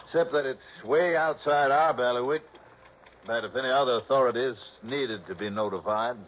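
An actor playing an easygoing police captain in a 1960s radio drama, talking in an unhurried, folksy, musing way. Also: male voice, mid-range voice, close to an everyday voice. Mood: matter-of-fact. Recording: dull, noisy old radio recording.

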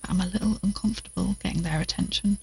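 A woman's voice: medium pitch, very fast, a loud crowd, natural voice. An actress in an audio drama playing an exhausted, anxious but determined woman who is recording a spoken log. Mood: hushed, tense. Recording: clearly noisy outdoor recording with crickets.

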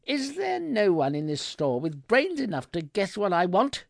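A man acting out a flustered, indignant gentleman shopper in a comic story, sputtering and insistent. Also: high voice, big pitch swings, unhurried, creaky, no echo, studio quality, voice pushed up, heavily acted. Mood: indignant rage.

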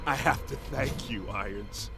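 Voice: mid-range voice, unhurried, vocal fry. An actor playing a hostile, bitter police crew leader who threatens and commands in an audio drama. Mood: bitter, taunting. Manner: aggressive, menacing, commanding. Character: hostile corrupt cop leader, bitter and threatening, hates robots. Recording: loud music bed behind.

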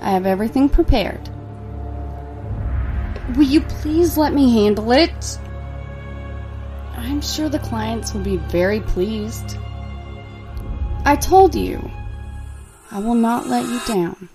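A calmly sinister young daughter , played by a woman, in a horror radio play. Her voice sits rather high and moves widely in pitch, unhurried and soft-spoken. Soft music runs beneath her lines. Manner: soft, measured, quietly menacing. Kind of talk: audio drama acting.

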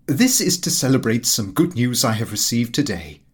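A man's voice: mid-range voice, very animated, moderate pace, slightly rough. A man playing a pompous, earnest middle-aged clerk and disapproving father in an audiobook. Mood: proudly pompous.

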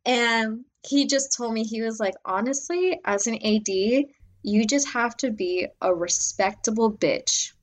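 A young adult woman with a loud voice, talking as a guest in a casual interview about working as an assistant director, full of "like"s and restarts. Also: a little high, measured pace. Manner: animated, filler-heavy, many "like"s.

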